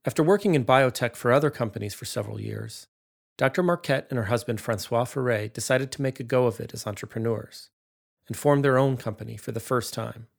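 A man's voice: mid-range voice, a little fast, vocal fry. A man reading scripted narration for a documentary-style profile.

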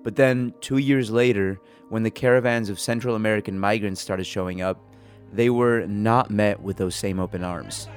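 A man's voice: fairly low pitch, steady tone, normal speed. A man reporting and hosting in a scripted narration style. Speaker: podcast host and reporter. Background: music bed.

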